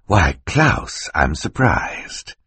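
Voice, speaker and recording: A man's voice: deep voice, sing-song, extremely slow, sharp tone, husky, no echo, full character voice. A man voicing a menacing, smug male villain in an audiobook, with a drawling, mocking snarl. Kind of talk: audiobook character voice.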